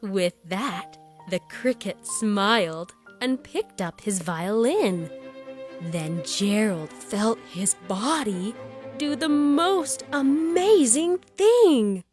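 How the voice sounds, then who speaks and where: A woman narrating a children's storybook in rhyme, with an expressive, deliberate and rhythmic delivery. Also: a little high, soft background music. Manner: deliberate, rhythmic verse reading. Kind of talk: expressive scripted read-aloud for young children.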